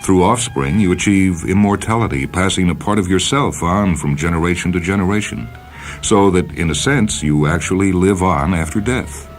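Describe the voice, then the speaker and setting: A man voicing a lonely, wistful stranded spaceman who muses gently, in a radio story reading. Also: deep voice, moderate pace, lightly acted. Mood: philosophical. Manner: wistful, musing, talking gently to himself. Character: lonely stranded spaceman, wistful, talking to his animal friend. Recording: music bed under the voice.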